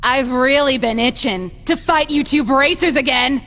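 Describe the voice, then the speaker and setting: An actress playing a brash, battle-hungry young mercenary in a video game, taunting and excited. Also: female voice, high-pitched, moderate pace. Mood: gleeful, taunting. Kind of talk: English video game dub acting.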